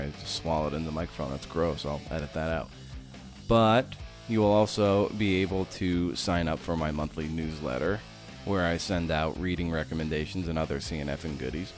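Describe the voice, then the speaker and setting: A male podcast host, worn out after a night shift, talking in a loose, jokey way with many restarts. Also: low-pitched. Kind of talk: casual podcast interview and outro.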